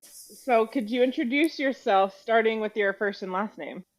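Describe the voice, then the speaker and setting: A woman's voice: on the high side, moderate pace, music in the background, poor audio. A woman hosting a podcast, interviewing a guest in a conversational style.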